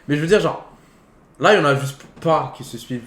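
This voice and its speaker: A man's voice: fairly high pitch, lively intonation, fairly quick, a bit bright. A man co-hosting a casual film talk, rambling informally in slangy, half-finished sentences. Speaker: co-host of a film talk show. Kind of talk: casual film debate between friends. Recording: echoey room with faint background noise.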